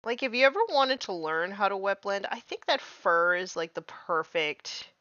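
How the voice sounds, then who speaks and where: A woman's voice: medium pitch, animated, moderate pace, bright, forceful tone, no room sound, dull audio. A young woman chatting casually and self-deprecatingly while painting miniatures on a live stream, full of "like", just getting over losing her voice. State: recovering from lost voice.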